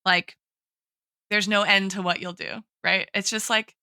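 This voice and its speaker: A woman's voice: mid-range voice, steady tone, a little slow, sharp tone, clear, resonant tone, no echo, studio quality. A woman co-hosting a podcast in casual intellectual discussion, mixing academic terms with informal, "like"-peppered talk. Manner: informal, academic, frequent "like" and "right?". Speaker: podcast co-host and guest.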